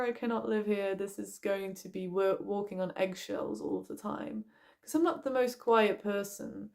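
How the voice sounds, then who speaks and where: A young woman with a loud voice, talking bluntly and casually about her life, swearing now and then and filling with "like". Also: medium pitch, vocal fry. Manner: frank, blunt, swears casually, many "like" fillers. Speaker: vlogger. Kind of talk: candid personal vlog talk.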